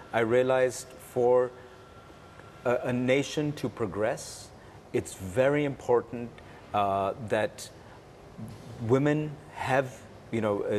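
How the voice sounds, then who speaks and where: A man from Pakistan answers interview questions at a middling pitch. He speaks haltingly, pausing and repeating himself, with faint ambient noise behind him. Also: darkish tone. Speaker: interview guest, cartoon producer. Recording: faint background room noise.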